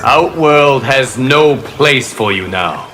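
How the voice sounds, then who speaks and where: An actor playing a calm, solemn guardian god in a fighting game, speaking in a measured, formal way. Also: male voice, mid-range voice. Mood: solemn, composed. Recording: loud game noise behind.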